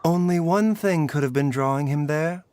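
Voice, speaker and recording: A man voicing a composed, scholarly healer in a video game, soft and measured, courteous with a sly teasing formality. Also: fairly high pitch, noisy background, lightly acted. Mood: calm, analytical. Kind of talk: video game character acting.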